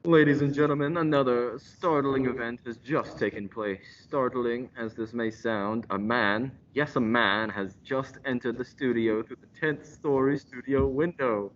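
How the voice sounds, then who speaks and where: A man's voice: unhurried, few pauses, lightly acted. A young man playing a pompous, unflappable live radio announcer with a grandiose, declamatory delivery. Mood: dramatic announcing. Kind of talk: old-time radio announcer parody. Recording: dull, noisy recording with rumble.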